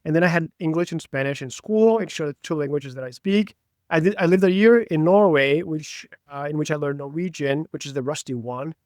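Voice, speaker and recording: A man's voice: fairly high pitch, brisk, a little mellow, dry recording, clean studio sound. A man from Brazil whose first language is Portuguese, talking eagerly as a podcast guest in a casual interview. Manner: enthusiastic, talkative.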